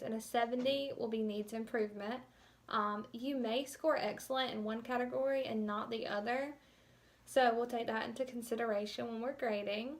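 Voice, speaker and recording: A young woman giving an informal instructional presentation as a student teacher, explaining things a little hesitantly. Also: fairly high pitch.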